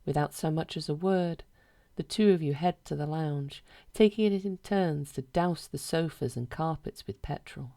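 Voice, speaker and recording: This is a woman narrating a short story. Her pitch is low for a woman. She reads the scripted prose in a measured manner, with a deadpan delivery throughout.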